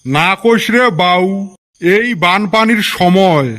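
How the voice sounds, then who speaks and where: A man voicing an older village uncle in a cartoon, speaking a Rangpur dialect, slow and complaining. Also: sits high, animated, a bit bright, heavily acted. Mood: aggrieved. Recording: noisy homemade recording.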